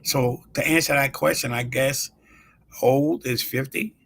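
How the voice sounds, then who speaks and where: A man in his sixties hosting his own show, talking casually with lots of pauses and fillers and greeting viewers by name. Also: mid-range voice, fairly even intonation, creaky, a bit bright. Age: 60s. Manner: rambling, halting, many fillers. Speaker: show host. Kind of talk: casual live-stream talk.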